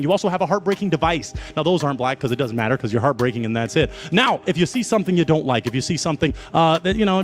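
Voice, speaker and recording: A man hosting a live dating show in an excitable, playful, rambling way, speaking into a handheld mic. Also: sits high.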